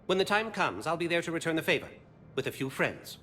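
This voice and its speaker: An actor playing a dutiful, intense alien special agent in a video game, with clipped delivery. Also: male voice, fairly high pitch, lively intonation, quick pace, sharp tone, a little hiss or hum. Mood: solemn.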